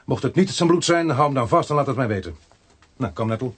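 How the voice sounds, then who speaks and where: An actor playing a blunt, sardonic, bossy police inspector who mutters asides in a Dutch radio crime drama. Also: male voice, mid-range voice, lively intonation, fast, slightly rough. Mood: brisk, businesslike. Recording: noisy radio drama recording.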